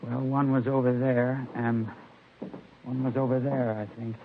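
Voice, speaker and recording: An actor playing a shaken storekeeper in a 1950s radio crime drama, halting, polite and uncertain, with a gravelly voice. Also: male voice, mid-range voice, flat delivery, narrow, tinny audio, lightly acted. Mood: subdued, uncertain. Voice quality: gravelly, creaky. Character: shaken, hesitant male storekeeper, holdup victim. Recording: thin, faintly noisy vintage radio recording.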